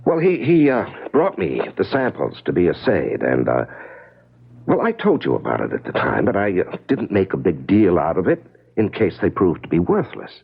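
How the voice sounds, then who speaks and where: An actor playing an uneasy, placating husband with a husky voice and halting delivery in an old-time radio mystery. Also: male voice, deep voice, wildly swooping pitch, heavily acted. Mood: defensive, explaining. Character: evasive, placating husband, an engineer, affectionate but uneasy. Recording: dull vintage radio recording.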